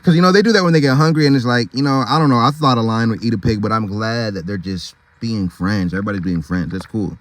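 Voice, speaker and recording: A man's voice: fairly low pitch, fast talker, hardly any pauses, dry recording. A man reading a picture book aloud, with informal, slangy, chatty asides along the way.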